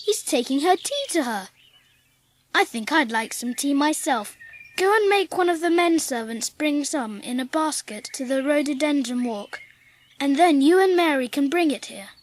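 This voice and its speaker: A woman's voice: high voice, expressive, moderate pace, slight background noise. A woman playing a frail, imperious and eager upper-class English boy in an audiobook drama, earnest and well-spoken. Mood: curious, wistful.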